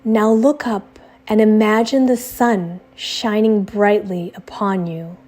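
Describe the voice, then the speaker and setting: A woman narrating a scripted guided meditation in a measured, soothing, instructional way. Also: medium pitch, steady tone. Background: soft background music.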